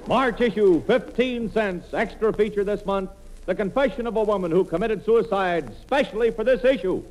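A man's voice: high-pitched, moderate pace, natural voice. A man acting a sensational, declamatory announcer hawking a lurid magazine in an old radio comedy. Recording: very noisy old radio recording.

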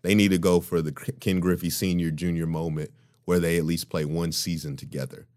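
A man with a very low, deep voice, holding forth in a loose podcast conversation. He talks with emphasis and often breaks into laughter. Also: flat delivery, a little fast, a little mellow. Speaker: podcast co-host. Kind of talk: casual chat.

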